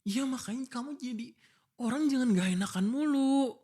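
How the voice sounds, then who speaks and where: A man's voice: extremely high pitch, moderate pace, dark tone, slightly rough. A man acting an exasperated, protective young fiancé in a boyfriend ASMR roleplay, pleading and scolding informally. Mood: weary, frustrated. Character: exasperated, protective young fiancé arguing before his wedding. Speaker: voice actor. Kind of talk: boyfriend ASMR roleplay, one-sided dialogue.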